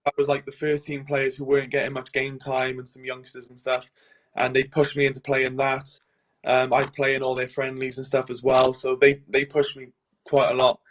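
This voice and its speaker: A man's voice: medium pitch, moderate pace, poor audio. A man in his twenties with a Cardiff Welsh accent, a goalkeeper answering interview questions casually with many "um"s. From Wales. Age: 20s. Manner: relaxed, many "um"s and "and stuff". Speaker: guest goalkeeper.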